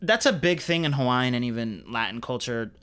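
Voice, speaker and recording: Male podcast co-host, smooth bright tone, informal talkative film chat. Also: mid-range voice, lively intonation, a little fast. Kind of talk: casual chat about films.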